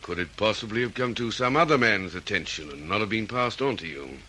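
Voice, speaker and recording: Casting: methodical Australian detective, low pitched, lively intonation, deliberate questioning, hissy old recording. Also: male voice. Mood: probing. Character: methodical, probing male police detective. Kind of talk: old radio detective drama acting.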